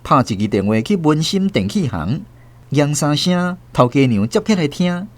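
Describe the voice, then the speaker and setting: Animated Taiwanese male storyteller. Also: a little high, normal speed. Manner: expressive, theatrical. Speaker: narrator. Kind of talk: dramatic scripted storytelling.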